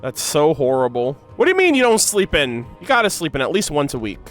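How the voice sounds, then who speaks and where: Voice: mid-range voice, sing-song, brisk. Slangy game reaction streamer over music. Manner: slangy, exclamatory. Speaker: reaction video creator. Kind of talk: casual gaming reaction commentary to chat.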